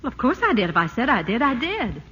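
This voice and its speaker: An actress playing a fussy, witty suburban wife and mother in a 1940s radio play, precise with her instructions and quick to laugh. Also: a little high, sing-song, a bit bright, husky, lo-fi sound, exaggerated voice. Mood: teasing. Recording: hissy old radio broadcast.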